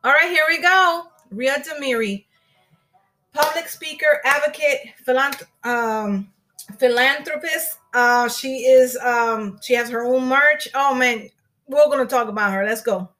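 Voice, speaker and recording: A woman's voice: high-pitched, unhurried, pushed and bright, faint music behind, low-quality recording. A young woman hosting an online live show, chatting casually and greeting viewers and her guest with Spanish endearments. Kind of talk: casual live-stream chat.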